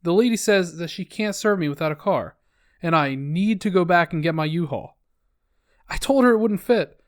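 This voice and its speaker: A man's voice: high voice, expressive, normal speed, dry recording, studio quality. A man reading online posts aloud, adding wry, sarcastic comments between them.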